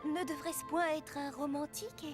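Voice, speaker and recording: A woman playing a high-voiced fairy-tale princess. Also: fairly even intonation, moderate pace, music in the background, close to an everyday voice. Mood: dreamy. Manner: flowery, formal speech. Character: romantic princess cursed to become an ogress.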